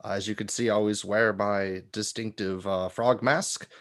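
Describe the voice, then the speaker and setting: A man playing a hesitant, masked frog warrior who talks haltingly and is full of uh's. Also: lowish voice, fairly even intonation, a bit bright. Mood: earnest.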